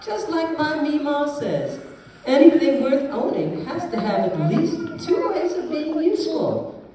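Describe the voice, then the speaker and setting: A woman's voice: sits high, very animated, measured pace. A woman voicing a cheerful, playful young girl in a live puppet show. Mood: chatty, fond. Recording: echoey, distant live room recording, faint noise.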